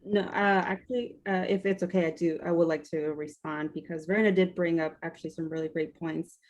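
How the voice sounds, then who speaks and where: A woman's voice: on the low side, fairly even intonation, a little fast, a little mellow, lo-fi sound, slight background noise. A woman from Washington, D.C. speaking as a panelist, hesitant, with many fillers and restarts. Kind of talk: panel discussion, advocating.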